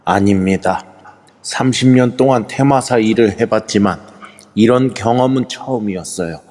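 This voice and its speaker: A man's voice: fairly low pitch, normal speed, darkish tone, long reverb tail, lightly acted. A man playing a veteran male exorcist, speaking humbly and earnestly. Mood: humble. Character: veteran male exorcist, thirty years on the job. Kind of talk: amateur audio drama acting.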